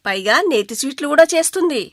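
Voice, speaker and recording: A woman's voice: high-pitched, animated, fast, dry recording, clean studio sound. A woman playing a boastful middle-aged mother of a bride in a cartoon moral story. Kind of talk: animated moral story dialogue.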